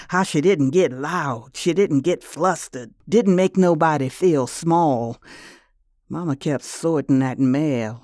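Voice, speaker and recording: A woman narrating a first-person dramatic story in short, deliberate, reflective phrases. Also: low-pitched, mellow, dry recording, studio quality.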